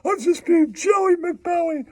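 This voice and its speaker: A man voicing a giggly, foul-mouthed cartoon mouse puppet in squeaky falsetto, teasing and pitching in a comic puppet show. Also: takes it slowly, no echo, clean studio sound. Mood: gleeful. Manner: giggly, repeats taunting catchphrases.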